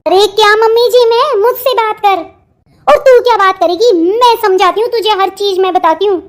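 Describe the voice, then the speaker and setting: Woman voicing a very high-pitched scolding village mother. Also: moderate pace. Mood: confrontational. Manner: scolding, dramatic, emphatic. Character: stern scolding mother, middle-aged Indian village woman. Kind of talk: melodramatic family cartoon dialogue.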